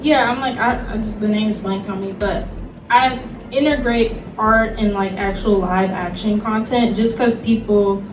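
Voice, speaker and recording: A woman's voice: mid-range voice, steady tone, moderate pace. A woman presenting informally as a convention panelist, with a hesitant, conversational manner full of "like". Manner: hesitant, conversational, says "like" often. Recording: echoey panel room, distant microphone, music.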